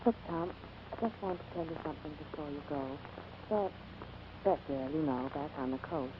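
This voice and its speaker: An actress playing a wounded, bedridden woman, faint, gentle and resigned, in 1940s radio drama style. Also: sits low, moderate pace, lightly acted. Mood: weak, tender. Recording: muffled, noisy 1940s radio recording.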